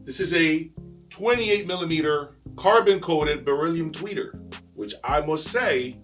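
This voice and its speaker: Bright, forceful man presenting a review. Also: fairly high pitch. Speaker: audio review channel host. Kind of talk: enthusiastic product review presentation to camera.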